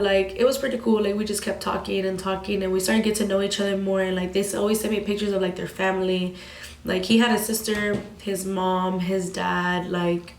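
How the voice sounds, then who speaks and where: A woman in her twenties, a little sick with a slightly raspy voice, telling a story in casual vlog chat full of "like" fillers and swearing. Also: medium pitch. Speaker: vlogger. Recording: echoey room.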